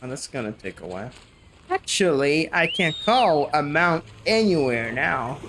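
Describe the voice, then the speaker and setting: A man's voice: on the high side, sing-song, measured pace, fairly bright tone, slight background noise. A male streamer giving casual gameplay commentary and joking back and forth with his chat.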